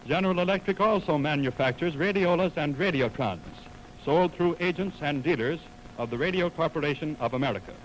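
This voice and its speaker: Old noisy radio, higher-voiced male announcer. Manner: formal, measured. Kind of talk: 1920s radio announcing, scripted. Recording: slightly noisy 1920s radio aircheck.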